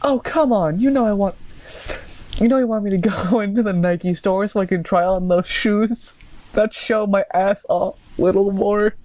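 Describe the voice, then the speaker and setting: Man putting on a high-pitched girly lady rabbit cop. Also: normal speed, a little mellow, lightly acted. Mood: coy, teasing. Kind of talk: hammy fan fiction read-aloud.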